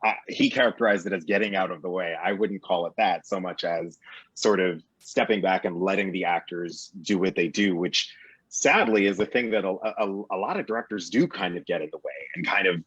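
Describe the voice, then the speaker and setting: A man with a low voice talks casually and reflectively, as an actor on a panel would. He phrases things thoughtfully, and the sound is dry and a little lo-fi. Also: a little fast, fairly bright tone, slightly rough, no echo. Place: remote video call. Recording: dull, lo-fi video call.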